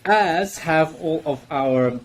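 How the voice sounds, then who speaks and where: A man's voice: fairly high pitch, slow pace, a little hiss or hum. A man hosting an online book launch over a video call, chatty and full of fillers and restarts. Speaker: host and book editor.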